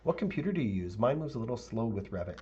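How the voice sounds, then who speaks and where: A male architect teaching a live software tutorial, talking casually with viewers and thinking aloud with fillers; his voice is a little raspy. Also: medium pitch, big pitch swings, fast talker, muffled recording. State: slightly raspy voice, clearing throat.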